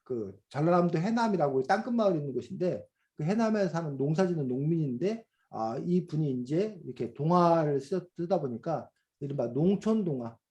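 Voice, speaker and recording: A middle-aged man teaching a children's reading class over a video call, explaining slowly with many fillers and questions to the students. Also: a little high, expressive, dark tone. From South Korea. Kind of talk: online class lecture to children.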